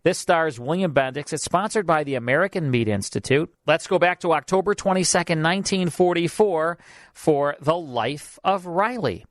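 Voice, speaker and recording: A man's voice: on the high side, lively intonation, normal speed. A man hosting a radio show, chatting casually and rambling with lots of fillers as he introduces an old broadcast.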